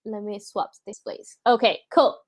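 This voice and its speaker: A woman's voice: mid-range voice, fairly even intonation, normal speed. A woman from Puerto Rico, a native Spanish speaker with a Puerto Rican accent, hosting an informal online presentation in a chatty way. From San Juan, Puerto Rico. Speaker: host, product designer reviewing a portfolio. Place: remote video call.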